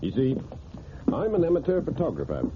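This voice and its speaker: An actor playing a precise, self-assured wealthy broker in an old radio drama, speaking formally and deliberately. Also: male voice, mid-range voice, wildly swooping pitch. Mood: insistent, composed. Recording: tinny, noisy old radio recording.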